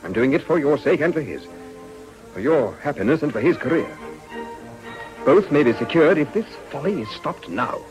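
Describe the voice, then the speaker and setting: Actor as a suave, insinuating English gentleman. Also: on the high side, lively intonation, over music, natural voice, rough recording. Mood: stern, warning. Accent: upper-class English. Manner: measured, formal, insinuating. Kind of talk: British period drama acting.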